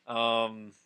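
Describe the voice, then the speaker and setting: A young adult man doing a casual, comedic dramatic reading, halting and stumbling over names as he goes. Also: mid-range voice, bright, forceful tone, smooth. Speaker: amateur story reader.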